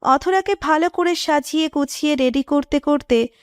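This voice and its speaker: A woman's voice: high-pitched, normal speed, no echo, studio quality. A woman reading scripted narration of a romantic story.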